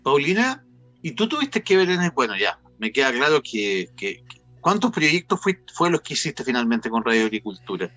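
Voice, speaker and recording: A man's voice: mid-range voice, very animated, moderate pace, fairly bright tone, faint music behind. A Chilean man hosting a casual interview show, chatty, playful and teasing with his guest. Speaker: talk show host and interviewer.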